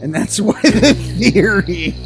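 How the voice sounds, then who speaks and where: Animated Western Massachusetts man, podcast banter. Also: high voice, over music. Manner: animated, swearing, quick storytelling. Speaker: podcast co-host.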